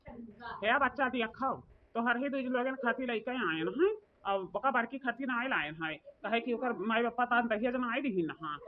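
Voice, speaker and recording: A woman plays a scolding village mother-in-law in a cartoon comedy. Her voice is on the high side and domineering, with rural speech. The sound is dull, with clear noise behind it. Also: close to an everyday voice. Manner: nagging, domineering. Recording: muffled audio with clear background noise.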